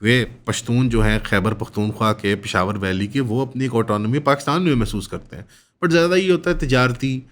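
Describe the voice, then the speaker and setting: A man's voice: medium pitch, fairly quick, slight background noise. A man with a Pakistani accent giving political commentary as an analyst, in long winding sentences with English terms mixed in.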